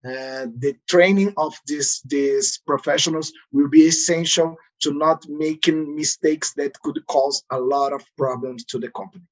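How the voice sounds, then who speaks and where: A man with a Brazilian Portuguese accent, a consultant explaining tax rules in a podcast interview, with frequent pauses and non-native phrasing. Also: a little high, fairly even intonation, low-quality recording. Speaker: transfer pricing consultant.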